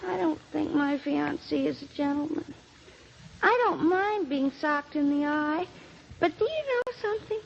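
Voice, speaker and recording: An actress playing a tipsy, ditzy nightclub fiancée in a 1940s radio drama, rambling and muddled, on a muffled old recording. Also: female voice, high-pitched, moderate pace, gravelly, a little hiss or hum. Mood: muddled, aggrieved.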